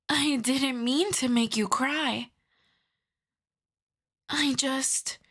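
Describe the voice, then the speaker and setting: A woman voicing a lovesick, possessive female vampire in an intimate ASMR roleplay, hushed and often slipping into whispers. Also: fairly high pitch, exaggerated voice. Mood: pleading, self-reproachful. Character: lovesick female vampire, sorrowful, pleading, possessive, tenderly menacing.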